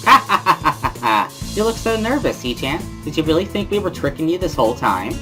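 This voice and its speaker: A man acts out a bubbly teenage schoolgirl from a visual novel. The voice sits high and pushes loud, exclamatory and teasing, with music playing behind it. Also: very animated, brisk. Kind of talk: dramatic reading of a visual novel.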